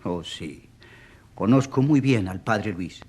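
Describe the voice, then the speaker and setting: An actor playing a stubborn man from the provinces who pleads for an accused priest in a radio drama, halting and insistent. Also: lowish voice, expressive, darkish tone, breathy edge, exaggerated voice. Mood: earnest, insistent.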